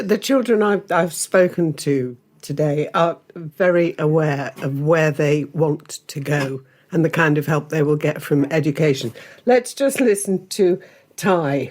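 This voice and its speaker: A woman hosting a podcast, asking calm, unhurried questions. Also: low voice. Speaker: host. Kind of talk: podcast interviewing.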